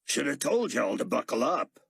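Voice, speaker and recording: A man's voice: mid-range voice, sing-song, normal speed, gravelly, breathy edge, no room sound, pitched above the performer's own voice, heavily acted. A man narrating an audiobook voices a cocky male pilot who jokes while on the run. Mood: cocky, teasing.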